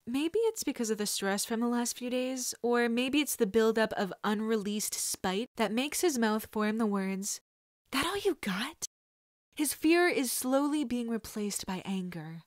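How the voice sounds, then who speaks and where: A woman's voice: a little high, unhurried, hardly any pauses, no room sound. Woman narrating a dramatic story, very dry sound. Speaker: fan fiction audiobook reader.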